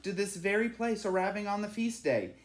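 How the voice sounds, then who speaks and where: A storyteller voicing awestruck, excitable villagers in a storybook, with dramatic wonder. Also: medium pitch, a little fast, roomy sound, pitched above the performer's own voice, close to an everyday voice. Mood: excited wonder.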